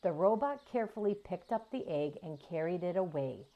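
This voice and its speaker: A woman reading a children's story aloud in an expressive storytelling style. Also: lowish voice, moderate pace, muffled recording. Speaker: children's audiobook reader.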